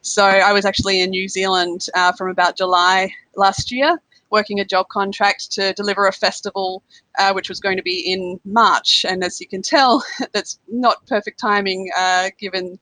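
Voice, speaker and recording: Australian woman, podcast guest, chatty with light laughs, bright voice, dry close sound. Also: mid-range voice, flat delivery, normal speed, dry recording, rough recording. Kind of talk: casual conversational interview. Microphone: remote call.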